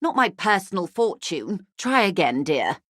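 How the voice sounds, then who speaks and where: A woman's voice: medium pitch, sing-song, measured pace, a bit bright, dry recording, studio quality. A woman playing a poised, wealthy, shrewd corporate negotiator in a video game, speaking formally and with polite, guarded care. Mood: wry, amused. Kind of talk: video game character dialogue.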